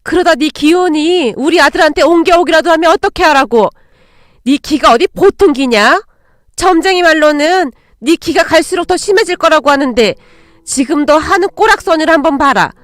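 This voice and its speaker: A woman voicing a harsh, greedy middle-aged mother in a dramatized story, shrill and accusing. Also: moderate pace, dry recording, clean studio sound, natural voice. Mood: angry, scolding.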